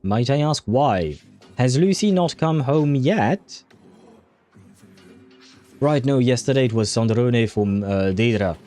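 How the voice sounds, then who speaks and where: A man hosting a casual livestream, talking in a rambling, halting way as he thinks aloud. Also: medium pitch, expressive, mellow. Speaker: livestream host. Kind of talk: casual livestream chat over a game. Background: faint music.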